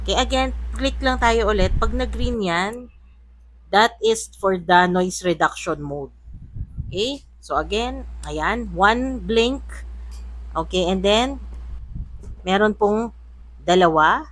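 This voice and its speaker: A woman's voice: medium pitch, slow, long pauses. A woman with a Filipino accent giving a casual, chatty gadget demonstration as a product reviewer. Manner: chatty, mixing two languages. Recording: noisy room with steady background hum. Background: air conditioner or fan hum.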